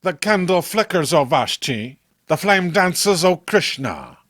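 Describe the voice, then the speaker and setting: A man voicing a sinister, scheming occult master with a formal, measured manner for a horror comic. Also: high-pitched, big pitch swings, a bit bright, dry recording, studio quality, heavily acted. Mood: chanting. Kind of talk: horror comic read-along acting.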